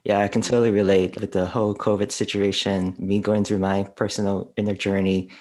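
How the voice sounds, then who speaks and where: A man hosts a podcast conversation with a guest. His voice is on the low side. He asks questions with an encouraging, curious manner. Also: flat delivery, a little fast, darkish tone. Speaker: podcast host. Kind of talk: casual interview.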